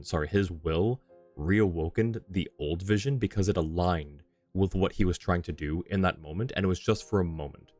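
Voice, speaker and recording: A man with a low-pitched voice gives casual livestream commentary on a game. He muses aloud and reasons things through with viewers, over faint music. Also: darkish tone, no room sound. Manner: musing, thinking aloud, analytical. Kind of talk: casual live-stream commentary, chatting with viewers.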